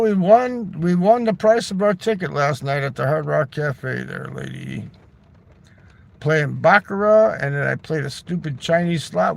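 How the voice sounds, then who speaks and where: A man telling a long casual story, loose and chatty, swearing freely and tossing in "right?" and "man". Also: a little high. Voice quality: gravelly. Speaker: livestreamer.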